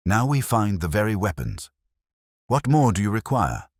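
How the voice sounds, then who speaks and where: A man's voice: on the low side, sing-song, moderate pace, slightly rough, dry recording, clean studio sound, voice pushed up, exaggerated voice. A man voicing a grim, impatient police inspector in a storybook mystery, blunt and insistent.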